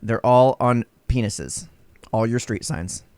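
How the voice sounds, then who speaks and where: A man's voice: mid-range voice, takes it slowly, a little mellow, clear, resonant tone, no room sound. A man in his thirties hosting a podcast from a small home studio, joking in a playful, mock-serious way on a stand mic. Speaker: podcast host. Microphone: studio mic on a stand, wearing headphones.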